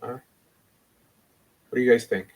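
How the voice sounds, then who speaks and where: A man's voice: mid-range voice, fairly even intonation, unhurried, vocal fry. A man speaking casually as a rabbi and livestream host, rambling and opinionated in an informal way. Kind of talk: casual livestream chat and debate.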